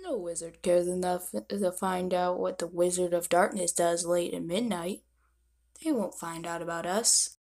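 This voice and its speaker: A woman voicing a lovestruck, teasing male wizard of darkness in a fan dub, with soft, unhurried endearments. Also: lowish voice, fairly even intonation, creaky. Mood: teasing, affectionate.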